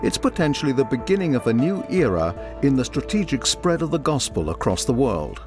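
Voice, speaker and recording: A man giving scripted documentary narration in a measured voice. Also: mid-range voice, sing-song. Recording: voice-over with music behind.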